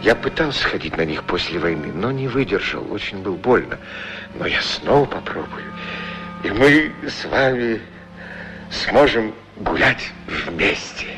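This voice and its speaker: An actor playing a lonely, tender, anxious war-veteran shoe shiner, speaking hesitantly and earnestly in a 1960s radio play. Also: male voice, lowish voice, animated, a little slow, a bit bright. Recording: old radio recording with music behind. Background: prominent music.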